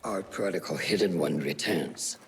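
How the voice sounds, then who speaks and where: An actor playing a stern, shrewd assassin in a video game, raspy and formal, with a measured, confident delivery. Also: male voice, sits low. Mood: probing. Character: stern, shrewd male member of secret assassin order.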